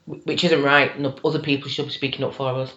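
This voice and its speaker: A woman's voice: a bass voice, level tone, quick pace, pushed and bright. Emphatic woman in her forties, roomy sound. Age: 40s. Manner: emphatic, agreeing. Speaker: podcast participant. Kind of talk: casual group discussion. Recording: roomy, dull lo-fi recording.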